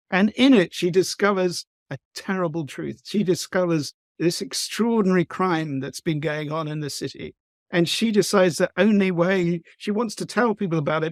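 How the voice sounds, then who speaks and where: A man from the east of England with an English accent, a novelist talking thoughtfully in an interview, pausing with frequent ums and restarts. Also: on the high side, gravelly, dry recording, clean studio sound. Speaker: guest novelist. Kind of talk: conversational interview.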